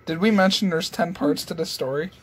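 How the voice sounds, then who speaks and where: A man's voice: a little high, brisk, a loud crowd. A young man in casual banter with friends, recorded on a handheld phone in a small bedroom. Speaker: friend filming and commenting. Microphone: handheld phone camera.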